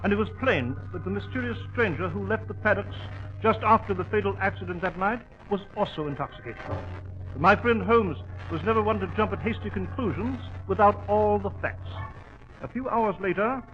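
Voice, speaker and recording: An actor playing a bluff, loyal, easily puzzled older English gentleman in a 1940s radio drama, with a blustery British delivery. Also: high-pitched, normal speed, muffled recording, close to an everyday voice. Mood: storytelling. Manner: blustery, exclaiming.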